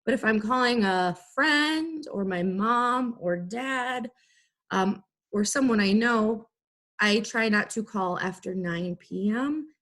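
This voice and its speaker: A woman teacher giving an instructional lesson, explaining things in clear, simple, step-by-step phrases. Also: medium pitch, takes it slowly, a bit bright. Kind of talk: instructional video lesson for students.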